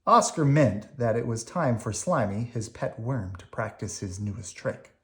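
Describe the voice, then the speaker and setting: Low-voiced woman reading a children's storybook. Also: animated, measured pace. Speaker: storybook reader. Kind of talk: expressive children's read-aloud narration.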